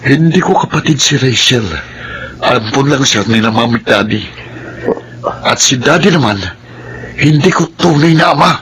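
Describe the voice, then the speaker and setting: Over faint background noise comes a man playing a spoiled, scheming rich son from a melodrama. His mid-pitched voice is domineering and menacing, with a hot temper under every line. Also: normal speed, pauses often, a bit bright, lightly acted. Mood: cold, bitter. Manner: domineering, threatening. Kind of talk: Filipino radio-style melodrama acting.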